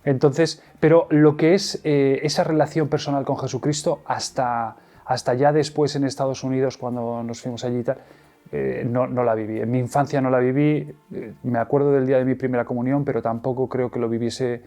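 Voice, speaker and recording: A middle-aged man speaks in a warm, dark-toned voice. He recounts his personal story haltingly, full of pauses, fillers and false starts. Soft music plays faintly beneath him. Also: medium pitch, steady tone. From Madrid, Spain. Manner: hesitant, many fillers and restarts. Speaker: interview guest. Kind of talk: personal testimony interview.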